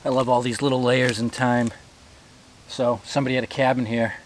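Guy in his 40s hiking in the woods, wondering out loud, mic on his chest, bit of outdoor hiss. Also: mid-range voice, little pitch movement, fairly quick, poor audio. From New England. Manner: musing, curious, thinking aloud as he walks. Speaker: hiking vlogger. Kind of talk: casual walking narration to camera. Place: outdoors in forest on a mountain. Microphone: body-worn action camera.

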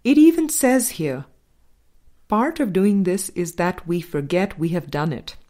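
A woman reads a book aloud as narrator at a very unhurried pace. Her voice sits a little low, and her delivery is scripted and measured. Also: expressive, warm tone. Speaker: audiobook narrator.

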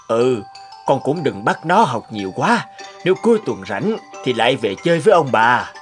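A man playing a good-hearted, worried elderly grandfather in a cartoon, speaking earnestly and haltingly. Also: medium pitch, very animated, soft background music, close to an everyday voice. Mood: gentle. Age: older. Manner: halting, earnest, swooping intonation. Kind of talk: cartoon dialogue acting.

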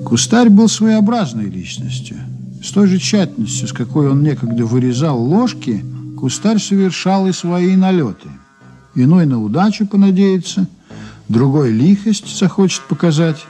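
An actor playing a calm, wry investigator in a radio drama, reflective and affable. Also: male voice, fairly high pitch, moderate pace, music in the background. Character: calm, wry male investigator recounting a case. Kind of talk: radio drama acting and narration.

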